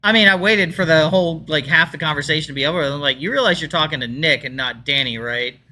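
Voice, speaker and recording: A man's voice: quick pace, bright, forceful tone. A man co-hosting a podcast, telling stories in a rambling, casual way with many fillers. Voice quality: deep voice like his father's. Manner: rambling, chatty storytelling with fillers. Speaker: podcast co-host. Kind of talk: casual chat.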